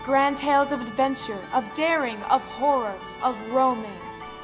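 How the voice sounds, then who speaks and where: A woman narrating a scripted folktale in a measured, dramatic style. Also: high-pitched. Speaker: storyteller. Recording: music under the voice. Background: music.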